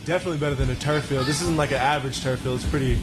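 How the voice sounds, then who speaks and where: A man's voice: mid-range voice, steady tone, racing, heavy background noise. A young man, a soccer player, casually answering a post-game question. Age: young adult.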